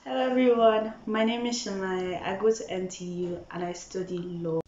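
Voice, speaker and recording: A woman's voice: medium pitch, steady tone, normal speed, a little mellow, slight background noise. A woman who is a law student, speaking enthusiastically from a student bedroom. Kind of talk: casual testimonial. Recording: roomy, dull lo-fi webcam sound.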